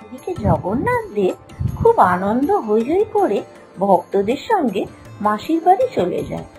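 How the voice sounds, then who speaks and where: A woman's voice: on the high side, a little mellow. A woman narrating a scripted children's moral story with a dramatic storytelling delivery.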